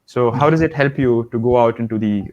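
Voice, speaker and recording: A man's voice: medium pitch, fairly quick, a little mellow, muffled recording. A man hosting an interview over a video call, asking enthusiastic, rambling questions with fillers. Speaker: host. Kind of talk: podcast interview.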